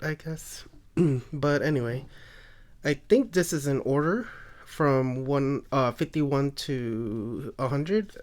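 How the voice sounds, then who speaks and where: A man hosting a casual card collecting video, congested and phlegmy, clearing his throat and talking hesitantly with lots of ums and uhs. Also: medium pitch. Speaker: card collecting channel host. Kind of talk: casual card review vlog.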